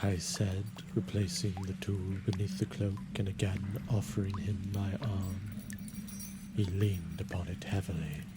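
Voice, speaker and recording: A man narrating a gothic story in a measured, formal, dramatic style. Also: deep voice, flat delivery. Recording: loud music behind the narration.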